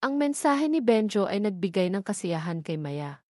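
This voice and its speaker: A woman's voice: mid-range voice, very animated, moderate pace, darkish tone, dry recording. Woman narrating, close and dry. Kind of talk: scripted story narration, reading dialogue herself.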